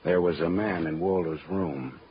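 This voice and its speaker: An American actor playing a wry, terse private detective in 1940s radio style, on a noisy old recording. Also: male voice, sits low, normal speed, highs cut off, lightly acted. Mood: terse, grim. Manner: terse, sardonic. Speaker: lead actor and narrator in radio detective drama. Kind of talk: 1940s radio acting, hardboiled narration.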